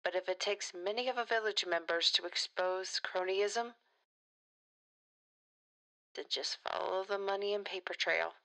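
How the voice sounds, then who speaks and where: A woman playing a principled, defiant politician in an audio drama, speaking in a measured, earnest way. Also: medium pitch, steady tone, a bit bright, rough recording. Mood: grave, righteous.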